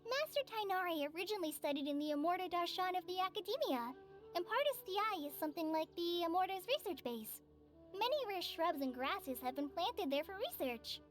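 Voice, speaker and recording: An actress voicing a frail young female forest trainee in a game, soft, polite and a little shy, sighing and tired. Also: very high-pitched, animated, a little fast. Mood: earnest. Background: faint game music.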